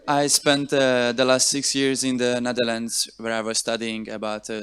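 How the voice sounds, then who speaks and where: A young man from Hungary introduces himself. His voice is smooth but gravelly at the edges, and he speaks in a measured way with pauses. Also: lowish voice, a bit bright. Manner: measured, with many filler pauses. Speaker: incoming student. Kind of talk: self-introduction at a ceremony.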